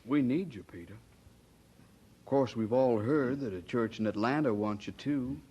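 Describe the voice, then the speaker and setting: Husky, pleading churchman. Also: mid-range voice, unhurried, warm tone. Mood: earnest, persuading. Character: earnest, pleading church elder urging a preacher. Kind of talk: audio drama acting.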